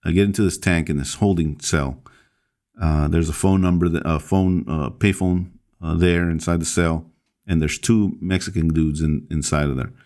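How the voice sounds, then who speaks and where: A man with a very low, deep voice tells his own story as a podcast guest. He speaks haltingly, pausing on fillers and restarting phrases as he goes. He is a pastor, born in El Salvador. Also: steady tone, darkish tone.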